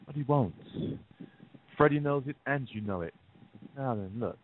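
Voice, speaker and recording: A man plays a nervy, bullying male kidnapper in an audio drama. He sneers and threatens in a middling-low man's voice. The recording is thin like a phone call, with some noise and clicks. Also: expressive, normal speed, close to an everyday voice. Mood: menacing. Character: menacing, volatile kidnapper, nervous and bullying man. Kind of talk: radio-play style acting. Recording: noisy, tinny phone-like line with clicks.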